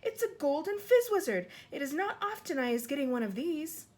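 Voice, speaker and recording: A woman voicing a kind, excitable male giant in a storybook reading, talking in jumbled grammar and made-up words. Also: fairly high pitch, moderate pace. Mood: thrilled. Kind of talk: audiobook character voice.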